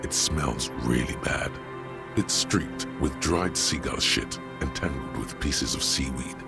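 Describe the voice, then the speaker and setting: An actor voicing a grave, world-weary inner voice that narrates a detective's thoughts in slow, literary, ominous phrases. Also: male voice, over loud music, exaggerated voice. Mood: dry, grave. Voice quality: deep, gravelly. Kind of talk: scripted dramatic game narration.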